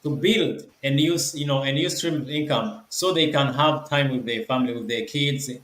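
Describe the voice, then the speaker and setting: A man's voice: mid-range voice, fairly bright tone. Guy hosting a video call, keeps repeating himself, mid voice, roomy echo. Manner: halting, many repeated words and restarts. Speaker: host of an online group call. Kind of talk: casual live call talk.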